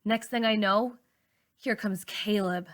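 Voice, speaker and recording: A woman teacher reading a novel aloud, with expressive, deliberate storybook narration. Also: medium pitch.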